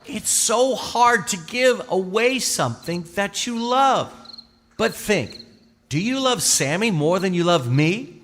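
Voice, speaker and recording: A man playing a patient, gentle but firm Israelite father in a children's puppet show, explaining things deliberately like a bedtime storyteller. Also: high-pitched, lively intonation, slight background noise, exaggerated voice. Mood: patient, serious. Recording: long hall reverb, music underneath.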